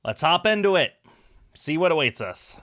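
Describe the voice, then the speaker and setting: A man's voice: on the high side, big pitch swings, moderate pace, fairly bright tone. A man in his thirties giving casual game stream commentary and reading on-screen text aloud. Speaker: streamer, host. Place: indoor room at a streaming setup. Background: music.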